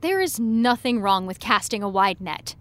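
A woman playing an awkward, evasive high school senior, hesitant and apologetic, in a fiction podcast. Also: lively intonation, normal speed, a bit bright, natural voice. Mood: awkward, defensive. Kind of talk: scripted audio drama dialogue.

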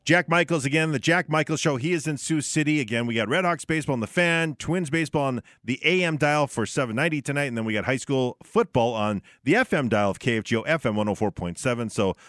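A man's voice: medium pitch, fast, hardly any pauses, fairly bright tone, no room sound, clean studio sound. A man co-hosting a live sports talk radio show, chatting in a rambling, conversational way. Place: radio studio.

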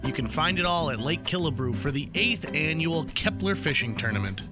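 A folksy radio-play narrator voiced by a man, slightly high in pitch, reading scripted narration. Loud guitar music plays under him. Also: lively intonation, brisk, lightly acted.

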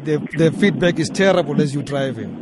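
A man hosting a radio call-in show, brisk and directive with callers. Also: fairly high pitch, noisy background. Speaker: radio talk show host. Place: radio studio.

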